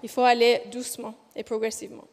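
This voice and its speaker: Casting: a high-pitched Australian woman researcher, conference talk, halting and careful, booming hall reverb. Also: monotone, darkish tone. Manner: speaks slowly and deliberately, with frequent hesitations and self-corrections. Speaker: physiotherapist researcher presenting a study. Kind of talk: conference presentation.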